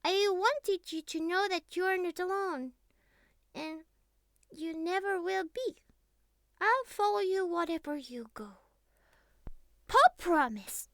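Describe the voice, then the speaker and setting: A woman playing a clingy, teasing young catgirl best friend in an intimate roleplay ASMR, speaking softly and cutely with breathy murmurs. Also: extremely high pitch, lively intonation, dry recording, studio quality, heavily acted. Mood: tender, devoted. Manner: soft, cutesy, breathy sighs and murmurs, slow. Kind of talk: intimate roleplay ASMR, scripted monologue to the listener.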